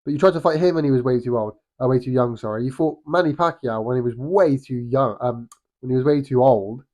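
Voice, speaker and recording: A man's voice: medium pitch, brisk, darkish tone. A young British man with a cold and a slightly nasal voice, giving a casual, rambling boxing opinion vlog. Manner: rambling, frequent restarts and ums. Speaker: boxing vlogger.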